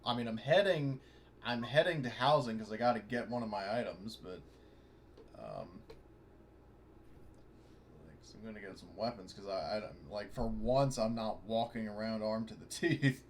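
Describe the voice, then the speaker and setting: A man with a fairly low voice talks casually through a tabletop role-playing session. He rambles and corrects himself as he goes, and faint noise sits behind his voice on the online call. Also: moderate pace. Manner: rambling, hesitant, frequently self-correcting. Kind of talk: casual in-character and out-of-character game talk. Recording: clearly noisy online voice chat.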